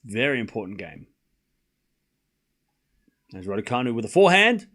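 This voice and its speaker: A man's voice: medium pitch, sing-song, moderate pace, pushed and bright. Man with a mild Australian accent, livestream commentary. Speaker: livestream watchalong host and commentator. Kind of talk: live tennis commentary mixed with casual chat reading viewer comments.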